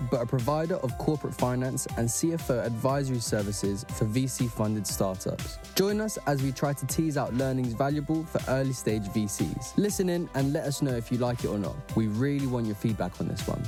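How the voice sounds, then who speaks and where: A podcast host reading a scripted introduction to a show. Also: mid-range voice. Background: music.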